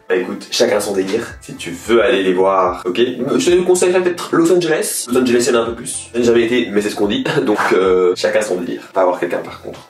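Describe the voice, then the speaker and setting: A young man chatting casually in a rambling way as a vlogger reacting to viewers. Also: lowish voice. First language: French. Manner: chatty, rambling, quick asides. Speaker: YouTuber. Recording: echoey room, distant mic.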